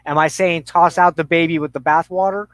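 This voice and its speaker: A middle-aged man in a reflective conversation, speaking haltingly and thoughtfully with pauses mid-sentence. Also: fairly high pitch, fairly even intonation, fairly bright tone, dry recording.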